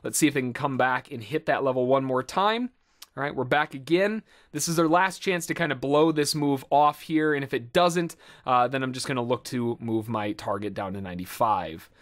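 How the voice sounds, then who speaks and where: A man's voice: medium pitch, normal speed, fairly bright tone. A man giving live trading commentary as an instructor, talking casually and thinking aloud as he goes. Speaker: day-trading instructor and host. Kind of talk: live trade commentary and tutorial.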